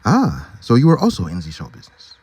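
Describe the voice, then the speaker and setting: Courteous circus lion, read by a man: male storybook animal, sociable, formal, animated intonation. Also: lowish voice, quick pace, husky, dry recording, exaggerated voice.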